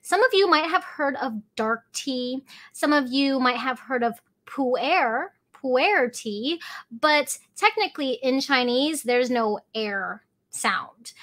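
A woman in her thirties who grew up in Hong Kong and Alaska, hosting an enthusiastic educational podcast from a home kitchen, gesturing as she talks. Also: fairly high pitch. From Hong Kong, raised in Alaska. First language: Cantonese. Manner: animated, gestures with both hands while talking. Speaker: tea company owner and podcast host. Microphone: fixed camera at mid distance.

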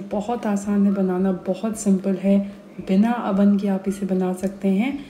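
A young woman hosting a cooking channel, calmly explaining each recipe step in a voice-over. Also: mid-range voice, slight background noise.

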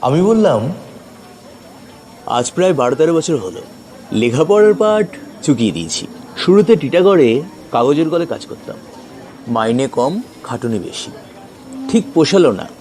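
A man's voice: fairly high pitch, sing-song, moderate pace, pauses often, a little mellow, a little hiss or hum. A man reading a scripted first-person story as a dramatic narrator. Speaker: story reader. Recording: long reverb, faint music behind.

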